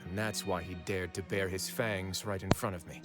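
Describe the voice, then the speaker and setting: An actor playing a shrewd, composed duke in a video game, speaking with measured authority. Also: male voice, sits low, fairly even intonation, natural voice. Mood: deductive, confident. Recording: game music behind the voice.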